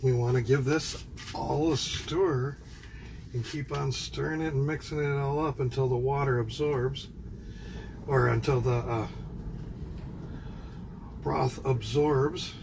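A man hosting a home cooking show, explaining each step in a relaxed, halting way with many fillers. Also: mid-range voice, creaky, noisy background. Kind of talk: casual cooking demonstration. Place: home kitchen, indoors.

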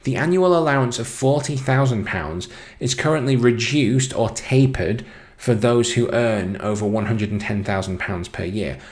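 A man narrating a scripted explainer as presenter, in a measured, announcing manner. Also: medium pitch.

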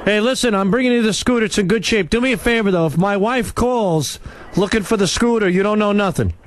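A man's voice: high-pitched, fairly quick, close to an everyday voice. A man playing a shady, pushy, foul-mouthed husband on a prank phone call. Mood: shifty, hurried. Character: shady, hurried husband dumping a stolen scooter, easily panicked. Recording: noisy phone line with vehicle noise.

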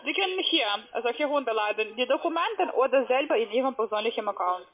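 A man working as a scam caller on the phone, halting and insistent, with broken grammar. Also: extremely high pitch, animated. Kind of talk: phone conversation. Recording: tinny phone line.

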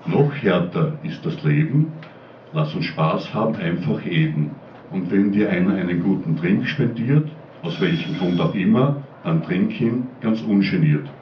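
Deep-voiced man reciting rhymes. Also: slight background noise. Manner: sing-song rhyming delivery. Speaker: slam poet. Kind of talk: rhymed dialect poem recital. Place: small pub stage. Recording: roomy distant lo-fi camcorder sound.

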